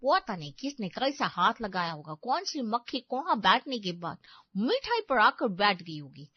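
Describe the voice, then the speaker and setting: A woman voicing a fussy, gushing older auntie in an audio story, with a Bengali accent, drawn-out emphatic words and broken Hindi. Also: fairly high pitch, very animated, breathy edge, no room sound, muffled recording, pitched below the performer's own voice. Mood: fussy, disgusted.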